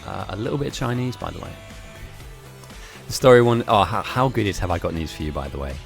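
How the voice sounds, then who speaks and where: A chatty man with an English accent who grew up speaking German and Italian talks loosely and laughs often while he streams a game. His voice sits low and has a creaky, gravelly edge. Soft music plays faintly underneath. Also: very animated, fast. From grew up in Germany and Italy. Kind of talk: casual chat while gaming, reading viewer messages.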